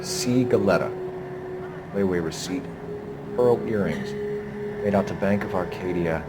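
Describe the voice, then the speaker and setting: A jaded police investigator in a crime game. His voice is low and his remarks are terse, with loud music behind him. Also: a little slow. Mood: terse, observant. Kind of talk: period crime game acting.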